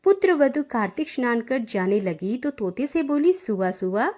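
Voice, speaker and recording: A woman narrating devotional folk tales in an expressive storytelling delivery. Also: high voice, moderate pace.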